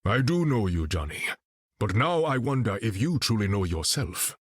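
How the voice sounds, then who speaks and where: A proud African warrior king for a video game. His low voice has a husky edge and rises and falls expressively. His phrasing is formal and dignified. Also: very animated, no room sound, clean studio sound. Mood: proud, solemn. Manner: formal, regal, measured phrasing. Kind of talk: video game character voice acting.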